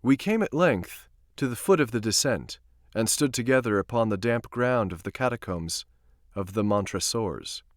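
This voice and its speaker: A man's voice: medium pitch, moderate pace, dry recording, clean studio sound. A man reading a gothic horror story aloud in a dramatic storytelling style, sometimes stumbling and going back over a line. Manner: expressive, stumbles and rereads lines. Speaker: streamer reading stories aloud.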